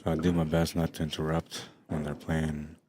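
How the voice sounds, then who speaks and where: A middle-aged man commentating a pool match in a hushed, unhurried voice with a very deep bass, tired late in the day. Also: completely flat delivery, noisy background. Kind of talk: quiet live sports commentary. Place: pool hall during a tournament.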